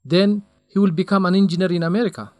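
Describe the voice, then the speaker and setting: A man's voice: sits high, fairly even intonation, quick pace, a little mellow, no room sound, lightly acted. An actor playing a young male researcher in a radio drama, lovesick and stubborn, speaking in an earnest, defensive way.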